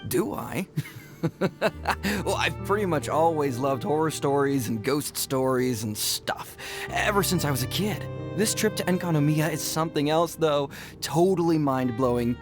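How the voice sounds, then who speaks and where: An odd, cheerful young soldier from a video game. His voice is a little high, with a breezy, casual and easygoing delivery. Music is clearly heard behind him. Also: expressive, unhurried. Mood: chipper.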